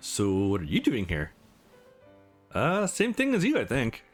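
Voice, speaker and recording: A man's voice: medium pitch, huge pitch swings, a little slow. A man voicing awkward, wry young women who are rivals in love in a visual novel. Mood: awkward, hesitant. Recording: music under the voice.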